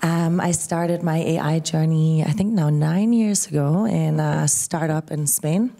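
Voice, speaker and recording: A woman's voice: deep voice, fairly even intonation, measured pace, gravelly, warm tone. Casual young woman doctor on panel. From Vancouver. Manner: casual, laughing self-deprecation. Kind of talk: conference panel answer. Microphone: handheld microphone.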